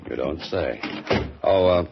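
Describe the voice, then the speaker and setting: A man's voice: deep voice, expressive, slow, gravelly, noisy background, full character voice. An actor playing a cool, dry-witted male mystery writer who sleuths, in 1940s radio drama style. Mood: wry. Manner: dry, wisecracking. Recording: muffled 1940s radio broadcast.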